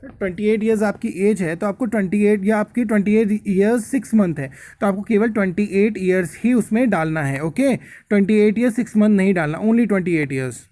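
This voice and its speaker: A man's voice: high voice, fairly quick, few pauses, warm tone, dry recording. A man giving a casual, instructive explanation to viewers, mixing in English words and often checking that they follow. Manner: instructive, repeatedly checks with "okay?". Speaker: quiz-prep tutor, channel host.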